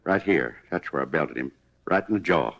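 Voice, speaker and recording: An actor playing a talkative, homespun cafe owner from Kansas who was shot, in a 1950s radio drama. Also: male voice, rumbling low, lively intonation, normal speed, vocal fry, a bit bright, exaggerated voice. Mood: folksy. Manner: rambling, homespun, plain-spoken. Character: folksy, talkative wounded cafe owner, former pitcher. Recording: dull vintage 1950s radio broadcast.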